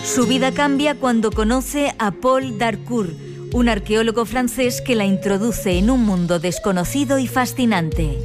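A woman with a fairly high voice reads a scripted report. She narrates in a measured, dramatic way. Loud music plays beneath her throughout.

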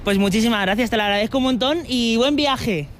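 A man's voice: very high-pitched, a little fast, a bit bright. Chatty Spanish male roving reporter outdoors. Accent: Spain. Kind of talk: live vox pop reporting. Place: outdoors at a petrol station. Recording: outdoor traffic noise.

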